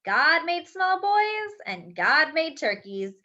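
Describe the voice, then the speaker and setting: A woman voicing a kindly male priest in a storybook, speaking slowly and deliberately. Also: high voice, big pitch swings, pushed and bright, voice pushed up, heavily acted. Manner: slow, deliberate. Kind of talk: storybook character voice. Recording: dull lo-fi recording.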